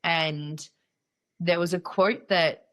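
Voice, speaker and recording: A woman's voice: lowish voice, fairly even intonation, takes it slowly. A young woman hosting a casual solo podcast, with a nasal voice from a cold, talking in a candid, playful and chatty way. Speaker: podcast host.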